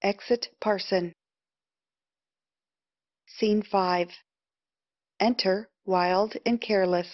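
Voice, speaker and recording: A woman's voice: medium pitch, steady tone, extremely slow, pauses often, no echo, muffled recording. A woman narrator reading stage directions for a play.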